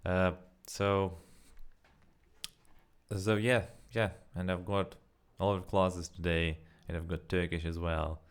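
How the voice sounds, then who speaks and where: Non-native English-speaking young man, deep clear voice, loose casual vlog chat full of fillers and restarts. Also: flat delivery, slow. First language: not English. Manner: rambling, self-correcting, frequent fillers. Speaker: vlogger. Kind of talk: casual spoken practice vlog.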